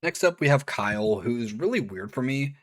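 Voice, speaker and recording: A man reading a scripted review, with casual offhand asides and a voice gone strained and a little hoarse. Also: mid-range voice, lively intonation, moderate pace. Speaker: video reviewer.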